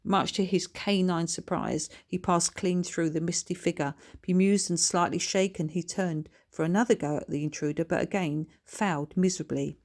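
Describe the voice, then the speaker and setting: A woman's voice: lowish voice, steady tone, normal speed, few pauses, darkish tone. A woman reading her own children's book aloud as scripted narration.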